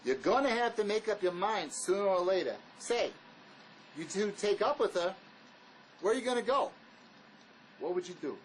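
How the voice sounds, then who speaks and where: An actor playing a wary, blunt escaped convict in a drama, speaking in an argumentative, insistent manner. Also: male voice, high-pitched, expressive, normal speed, long pauses, a little hiss or hum, low-quality recording. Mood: challenging. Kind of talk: dramatic dialogue reading.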